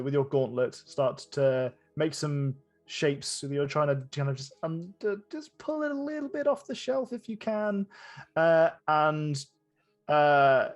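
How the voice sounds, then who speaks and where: A man narrating a tabletop game as game master, improvising in a halting way and often rephrasing. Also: on the high side.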